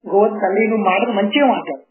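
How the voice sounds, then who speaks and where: A man's voice: high voice, very fast. A man making a prank phone call, persistently pushing his proposal. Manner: pleading, persistent, pushy. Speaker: prank caller. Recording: tinny phone line.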